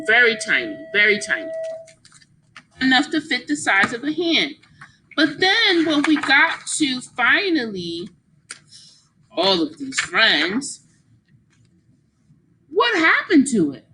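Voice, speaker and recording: A woman's voice: a little high, bright, forceful tone, slight background noise, poor audio. A woman reading a picture book aloud like a teacher, with exaggerated emphasis, putting questions to young listeners.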